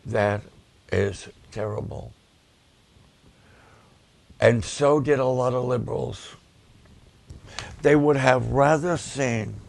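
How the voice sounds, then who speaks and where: A man, a pastor, preaching and promoting his ministry's videos, with a voice that gets raspy at times, speaking with emphatic repetitions. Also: mid-range voice, a little mellow. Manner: slow, deliberate, with emphatic repetitions.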